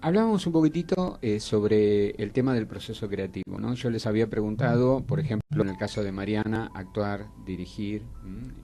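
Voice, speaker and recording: A man's voice: on the low side, moderate pace, few pauses, dark tone, soft background music. A man with an Argentine Spanish accent hosting a conversational arts interview on radio, attentive and often checking in with short questions. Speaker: radio host interviewing guests.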